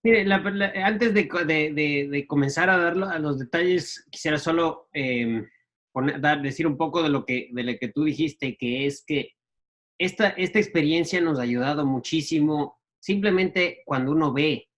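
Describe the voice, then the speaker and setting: A man, telling stories of his youth in a casual, halting way full of restarts and fillers. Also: on the high side, a bit bright. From Ecuador. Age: 40s. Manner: halting, many restarts and fillers, laughs at himself. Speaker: guest entrepreneur. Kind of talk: casual storytelling.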